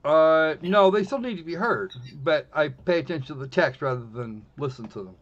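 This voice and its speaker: A man hosting a casual game live stream, talking in a rambling, halting way and repeating himself as he goes. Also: fairly high pitch, expressive. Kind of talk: casual live-stream commentary while setting up a visual novel. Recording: dull lo-fi mic with music behind. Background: background music.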